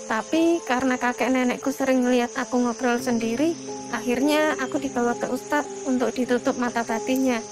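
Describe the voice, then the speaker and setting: A woman's voice: high voice, close to an everyday voice. A woman voicing a stubborn, trusting young female hiker in an Indonesian horror cartoon, with colloquial, insistent speech and an Indonesian accent. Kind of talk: animated horror story dialogue.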